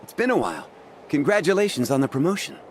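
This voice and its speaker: A smug, teasing gentleman of an anime game. His voice is high and his courtesy sarcastic. Faint vehicle-like ambience runs behind it. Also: sing-song, fast talker, slight background noise. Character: smug, teasing male acquaintance, theatrically genteel. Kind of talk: scripted anime game dialogue.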